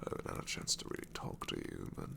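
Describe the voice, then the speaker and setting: A man voicing a sassy male shadow demon in an ASMR roleplay, snarky and rambling with soft whispered asides. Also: fast talker, natural voice.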